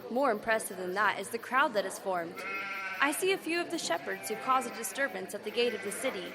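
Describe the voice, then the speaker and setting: An actress playing an eager field news reporter who keeps pressing with questions in a live radio drama. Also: female voice, mid-range voice, expressive, a crowd in the background, lightly acted. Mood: hushed awe. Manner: brisk, pressing reporter delivery.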